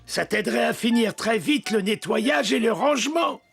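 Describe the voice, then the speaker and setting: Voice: medium pitch, animated, moderate pace, vocal fry. Dans un dessin animé français pour enfants, un petit lutin amical et embarrassé, qui parle en hésitant. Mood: friendly, persuasive. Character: friendly, flustered, evasive little gnome sharing magic powder. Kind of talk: French children's cartoon dubbing. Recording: loud music behind.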